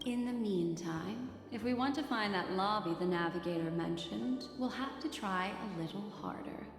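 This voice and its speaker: An actress voicing a poised, enigmatic woman in a video game, speaking in a measured, coy and politely formal manner. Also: mid-range voice, lightly acted. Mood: composed, amused. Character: poised, enigmatic memory keeper woman, teasing and suspicious. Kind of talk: English video game voice acting. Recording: echoey reverberant hall, music behind.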